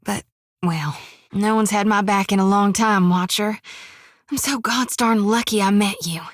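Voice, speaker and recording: A woman's voice: medium pitch, measured pace, dry recording, studio quality, close to an everyday voice. An actress voicing a devout, earnest young woman priest who travels as a companion, speaking in a folksy drawl. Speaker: game companion character. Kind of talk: video game voice acting.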